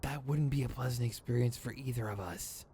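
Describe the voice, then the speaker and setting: A man's voice: mid-range voice, brisk, dark tone, a little hiss or hum, natural voice. A man playing a theatrical, sardonic supervillain in a scripted ASMR roleplay, exasperated and smug, with mock menace and a grumbling, rambling streak. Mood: stern, disbelieving.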